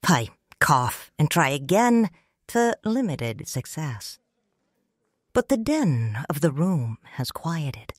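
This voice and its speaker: A woman narrating a first-person audiobook story in a measured, solemn and expressive way. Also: sits low, no room sound, studio quality.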